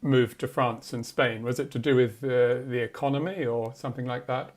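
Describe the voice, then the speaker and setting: Male interviewer, mid-pitched, conversational, rephrases questions. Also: fairly even intonation, normal speed.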